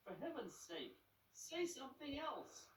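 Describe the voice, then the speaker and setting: A man voicing a bossy, no-nonsense female seagull who talks firmly and briskly. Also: very high voice, sing-song, a little hiss or hum, pitched above the performer's own voice, heavily acted. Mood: exasperated. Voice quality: brisk, firm, exasperated. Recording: echoey room, slightly distant.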